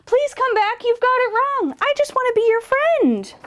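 A woman's voice: extremely high pitch, very animated, normal speed, pitched above the performer's own voice. A woman voicing a friendly, bubbly female storybook dragon who is gushing and earnest and longs for friends. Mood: pleading.

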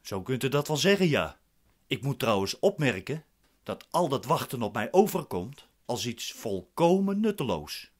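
A man's voice: mid-range voice, very animated, normal speed. A man playing a proud, impatient accused man in a radio drama, curt and formal in manner. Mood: skeptical. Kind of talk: radio drama acting in Dutch.

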